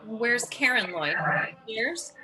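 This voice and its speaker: An actress playing a jealous, imperious ageing stage star in a radio play, with a biting, theatrical sarcasm. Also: female voice, fairly high pitch, big pitch swings, slow, bright, forceful tone, exaggerated voice, poor audio. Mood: probing.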